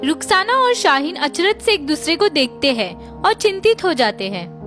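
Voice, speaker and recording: A woman reads a scripted tale for children as the storyteller. Her voice is high, bright and very animated in pitch. Light music plays behind the narration. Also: moderate pace.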